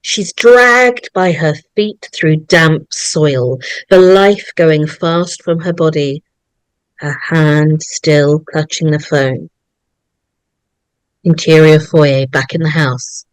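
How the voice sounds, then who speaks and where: Woman narrator, unhurried pace, dry close sound, suspenseful horror script reading, short deliberate phrases. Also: sits low, clean tone, dry recording.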